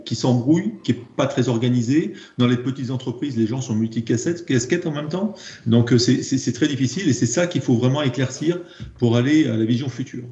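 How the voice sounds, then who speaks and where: French guy giving a training talk, mellow voice, stumbles and repeats words, roomy muffled sound. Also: medium pitch. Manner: hesitant, repeating words as he explains. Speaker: presenter, trainer in process improvement. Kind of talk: explanatory slide lecture. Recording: dull, echoey room recording.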